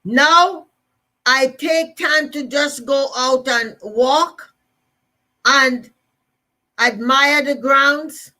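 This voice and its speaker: A woman's voice: high-pitched, very slow, long pauses, bright, forceful tone. A woman with a Jamaican accent giving an emphatic, repetitive motivational talk to listeners. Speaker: motivational speaker.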